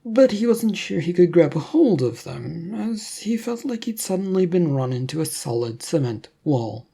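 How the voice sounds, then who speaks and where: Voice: mid-range voice, very animated, moderate pace, creaky, dark tone, breathy edge. A narrator reading a serial adventure story as scripted fiction.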